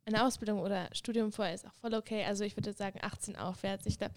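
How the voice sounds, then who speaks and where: A young woman. She talks in a calm, matter-of-fact way at a middling pitch. The recording is close and dry. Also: quick pace, no room sound. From Switzerland. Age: 20s. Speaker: mission school student, guest. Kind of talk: casual podcast conversation.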